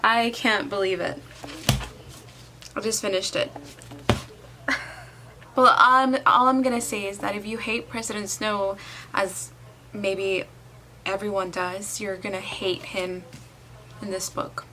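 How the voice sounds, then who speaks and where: A chatty young woman with a middle-pitched voice, talking candidly to the camera about a book she is reading. Her speech is loose and conversational, and a faint hiss of background noise runs under it. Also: steady tone, takes it slowly, pauses often, bright, forceful tone. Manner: chatty, candid, reacting aloud while reading. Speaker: book vlogger. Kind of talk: casual reading vlog talk.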